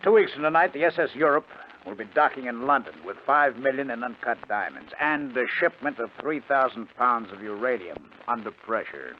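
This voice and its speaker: An actor playing a coolly ruthless, persuasive gang leader in a 1950s radio crime drama, insistent, deliberate and confident. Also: male voice, mid-range voice, animated, lightly acted. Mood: deliberate, scheming. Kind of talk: 1950s radio drama acting, also narrating in first person. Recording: muffled, noisy 1950 radio recording.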